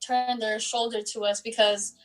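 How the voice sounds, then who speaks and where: A woman in her twenties, born in Bosnia and Herzegovina, answering interview questions in an unhurried way with many pauses and fillers. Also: on the high side, little pitch movement. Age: 20s. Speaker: guest, student athlete.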